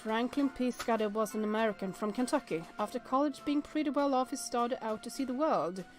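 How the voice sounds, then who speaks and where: A woman with a fairly high voice hosts a story-game let's-play. She reads on-screen text aloud and breaks in with expressive exclamations, stumbling over the odd word, with music playing along. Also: a little fast. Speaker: host playing and reacting to a visual novel.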